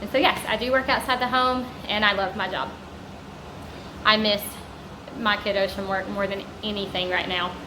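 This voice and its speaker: A woman's voice: mid-range voice, fairly even intonation, moderate pace, reverberant, noisy background. A woman in her thirties with a Southern US accent, chatting casually to camera in a rambling vlog. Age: 30s. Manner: chatty, rambling, warm asides to viewers. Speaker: vlogger. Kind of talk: casual sit-down chat to camera. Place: vacation home indoors.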